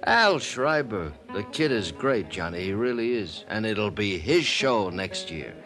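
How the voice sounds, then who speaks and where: An actor playing a tipsy, world-weary middle-aged radio producer who rambles theatrically and laughs in a 1950s radio drama. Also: male voice, lowish voice, very animated, measured pace, a bit bright, faint music behind. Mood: confiding.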